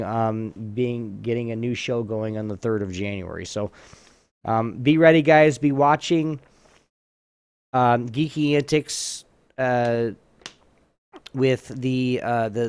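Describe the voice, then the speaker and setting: Casual man hosting a podcast, clear voice. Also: mid-range voice, a little mellow, dry recording. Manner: informal, laid-back, loses train of thought. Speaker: podcast host. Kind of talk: casual, rambling podcast sign-off.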